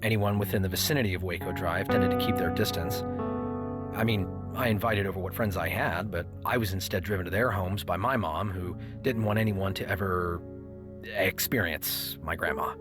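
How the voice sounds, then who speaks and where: A reflective grown son voiced by a man looks back on his strange family with wry understatement. The voice is on the low side, telling the story as audio drama narration over guitar music. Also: normal speed, natural voice. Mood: wry, storytelling. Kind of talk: scripted first-person audio drama narration.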